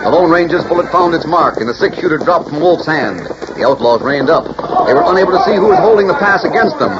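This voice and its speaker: A man's voice: on the high side, lively intonation, quick pace, a loud crowd, very noisy. A man narrating a 1940s radio drama in a dramatic, stirring scripted style. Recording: dull 1940s radio recording.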